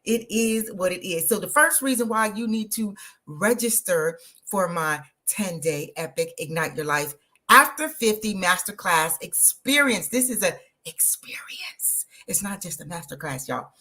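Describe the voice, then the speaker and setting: A woman, hosting an energetic, motivational live stream and talking straight to her viewers. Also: medium pitch, unhurried. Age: 50s. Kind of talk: live social media stream.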